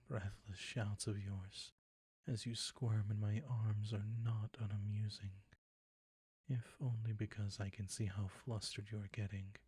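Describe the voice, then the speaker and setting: Man playing a low, husky, possessive vampire count. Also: warm tone, no echo, clean studio sound. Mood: amused, possessive. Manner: theatrical, formal, condescending endearments, often dropping to whispers. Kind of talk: intimate scripted roleplay audio, ASMR-like.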